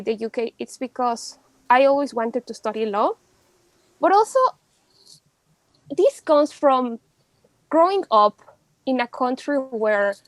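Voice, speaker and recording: A woman's voice: high voice, dry recording, low-quality recording. A young woman from Mexico, a law student speaking as a podcast guest in casual, chatty talk full of fillers and restarts. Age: young adult.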